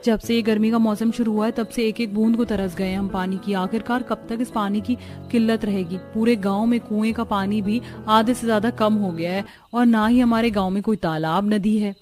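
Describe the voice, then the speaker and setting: A woman playing a young village daughter-in-law in a cartoon story, expressive and chatty. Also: medium pitch, moderate pace, few pauses, soft background music, close to an everyday voice. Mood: plaintive. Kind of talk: Hindi cartoon story dialogue.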